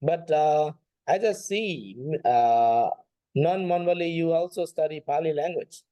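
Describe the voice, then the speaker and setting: A man's voice: on the high side, measured pace, mellow, clear, resonant tone, no room sound. A man teaching an informal online class as a Buddhist monk, speaking hesitantly and often repeating phrases. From Nepal.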